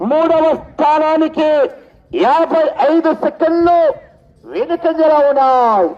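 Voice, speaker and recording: A man with an Andhra Telugu accent giving live contest commentary as an event announcer, repeating his announcements and throwing in exclamatory cries. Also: very high-pitched. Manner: repetitive announcing broken by loud exclamatory cries. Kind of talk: live contest commentary over a public address. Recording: dull lo-fi sound.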